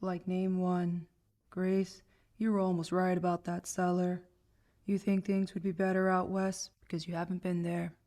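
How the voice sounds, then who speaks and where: An actress playing a cynical slacker roommate in a comedic radio drama, deadpan and slangy. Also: female voice, fairly low pitch, normal speed, close to an everyday voice.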